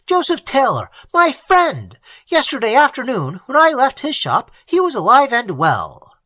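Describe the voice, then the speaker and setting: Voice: mid-range voice, wildly swooping pitch, moderate pace, pushed and bright, husky, dry recording, pitched above the performer's own voice, heavily acted. A reader voicing a horrified young man who chokes out desperate, anguished outbursts.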